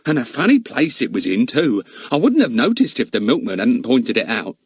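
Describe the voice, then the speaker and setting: A man's voice: mid-range voice, big pitch swings, moderate pace, husky, dry recording, clean studio sound. A man voicing a talkative, easily offended older female housekeeper who keeps calling her employer sir. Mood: chatty. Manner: gossipy, deferential, many a "sir". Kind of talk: audiobook character voice.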